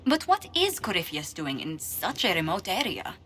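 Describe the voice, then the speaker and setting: A woman's voice: lowish voice, very animated, normal speed, sharp tone. An actress playing a poised, courteous woman diplomat in a video game. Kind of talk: video game dialogue acting.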